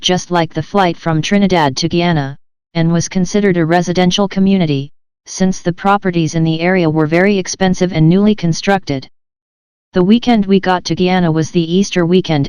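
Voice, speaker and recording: Audiobook narration, crisp clear voice, dry close sound, steady first-person read. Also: medium pitch, no room sound.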